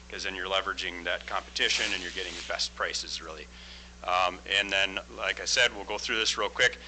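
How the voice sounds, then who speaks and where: A man in his forties, a construction project manager, giving a loud, plain-spoken presentation into a microphone at a school board meeting. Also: fairly low pitch, slight background noise, poor audio. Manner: loud, plain-spoken, steady explaining with frequent "uh" fillers. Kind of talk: formal presentation at a public meeting.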